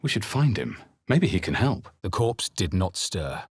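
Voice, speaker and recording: A man playing a grave fantasy game adventurer, with solemn, measured, dramatic delivery as he narrates his journey. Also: on the low side, very animated, breathy edge, no room sound, clean studio sound, exaggerated voice. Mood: solemn, intent. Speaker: video game player-character voice.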